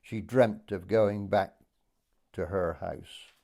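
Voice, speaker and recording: A man, reminiscing hesitantly with many pauses as he is interviewed. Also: sits low, fairly even intonation, gravelly, mellow. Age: late 80s. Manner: hesitant, pausing, unhurried recollection. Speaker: oral history interviewee. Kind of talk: reminiscing in conversation.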